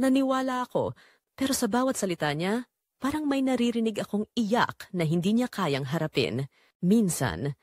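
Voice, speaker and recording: A woman playing a remorseful secretary and mistress, narrating her forbidden love in an expressive, confessional audio drama style. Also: medium pitch, dry recording, clean studio sound.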